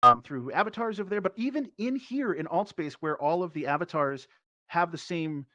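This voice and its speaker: A man's voice: on the high side, animated, a little fast, pushed and bright, dry recording, highs cut off. A man speaking casually and thoughtfully as an enthusiastic panelist over online voice chat. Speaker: panelist, performer. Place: virtual reality social app session.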